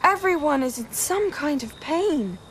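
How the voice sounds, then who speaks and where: A woman's voice: high voice, animated, a little slow, a bit bright, slight background noise. An actress playing an ambitious young witch who urges others to embrace a dangerous power, speaking intensely and persuasively.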